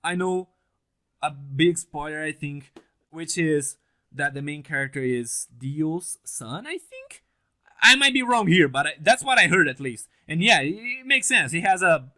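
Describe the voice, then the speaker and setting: A young man whose first language is Portuguese, excitedly chatting to camera about an anime, swearing and laughing often, on a stand mic set a little back. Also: on the high side, measured pace. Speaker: anime reaction channel host. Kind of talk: casual reaction commentary to camera. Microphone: mic on a stand, set a bit farther than usual.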